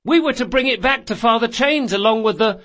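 Man playing an anxious, high-voiced boy initiate. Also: a little fast, sharp tone. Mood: anxious, earnest. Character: earnest boy initiate, artfully acting distressed.

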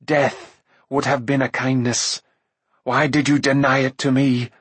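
A man's voice: medium pitch, flat delivery, a little slow, fairly bright tone, husky, no room sound. Haughty deposed enchantress played by male narrator: bitter, imperious, anguished, dramatic storybook delivery. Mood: anguished defiance. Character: haughty fallen sorceress queen, bitter and despairing.

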